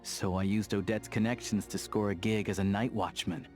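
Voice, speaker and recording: A man plays a hardy young man of the snowfields in a video game, his voice on the low side. He is easygoing, sincere and plain-spoken, with firm principles. Music accompanies him. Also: fairly even intonation, moderate pace, darkish tone, natural voice. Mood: reflective, sincere. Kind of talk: video game character voice acting.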